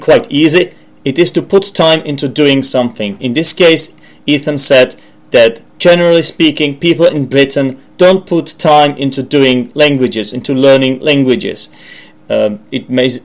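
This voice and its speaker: A Czech man with a Czech accent gives an informal English lesson as a podcast host, rambling, correcting himself and checking in with the listener. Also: medium pitch. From Czech Republic. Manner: rambling, self-correcting, with frequent asides and check-in questions. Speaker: language podcast host and teacher.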